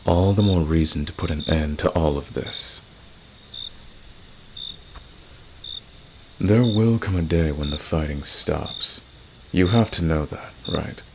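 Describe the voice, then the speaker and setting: A man playing a confident, flirtatious and secretly protective fantasy villain in an ASMR romance roleplay, gravelly and intimate, with a teasing, smug manner. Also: moderate pace. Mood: protective, reassuring. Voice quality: very deep, gravelly. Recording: clear background noise, night cricket ambience.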